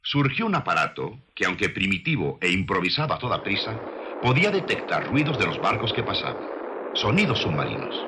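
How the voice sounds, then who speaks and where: Deep-voiced male documentary narrator. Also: huge pitch swings, fairly quick, background noise.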